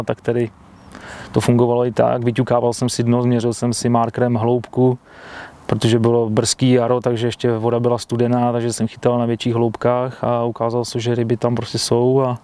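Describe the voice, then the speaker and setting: A man's voice: medium pitch, warm tone. A man in his thirties, an angler being interviewed outdoors by a lake, answering in a plain, matter-of-fact way into a clip-on mic. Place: outdoors by a lake, seated at a fishing bivvy.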